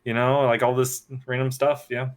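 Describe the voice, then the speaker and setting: A man with a medium-pitched voice chats as part of a podcast panel. He talks informally, in loose, conversational phrases. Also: level tone, normal speed. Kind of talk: casual chat.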